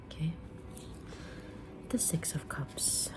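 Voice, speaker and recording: A woman whispering softly in an ASMR roleplay as a kind, mysterious witch reading tarot, with a gentle, soothing delivery. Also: over music. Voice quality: breathy unvoiced whisper. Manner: gentle, soothing, unhurried fortune-teller delivery. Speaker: ASMR creator playing a tarot reader.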